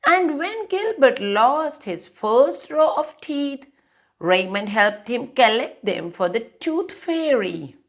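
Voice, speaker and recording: A woman's voice: sits high, sing-song, takes it slowly. A woman hosting a children's storytime, reading a picture book aloud and pointing out the pictures.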